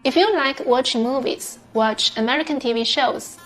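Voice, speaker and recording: Female, measured scripted read, slightly raised pitch, light music bed. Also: a bit bright. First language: Chinese. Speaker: English learner sharing study tips. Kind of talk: scripted narration.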